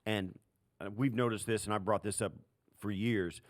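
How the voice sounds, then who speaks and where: A man's voice: on the low side, steady tone, normal speed, no echo, studio quality. A man co-hosting a sports podcast, giving conversational football commentary in a colloquial way with emphatic repetition. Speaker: sports podcast co-host, analyst.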